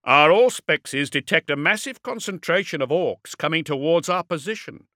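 A man's voice: mid-range voice, expressive, unhurried, sharp tone, no echo, studio quality, pitched above the performer's own voice. A man voicing an ancient villainous warsmith in an audiobook, mocking, cunning and defiant, with articulate, projected taunts. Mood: goading. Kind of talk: audiobook character acting.

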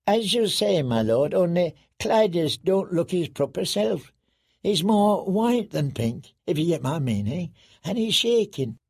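A male narrator plays a grim-faced guard in a fantasy story. He is folksy and deferential, speaking in a raised, slightly creaky voice. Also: high voice, sing-song, measured pace, darkish tone, no echo, clean studio sound, voice pushed up, exaggerated voice. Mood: concerned.

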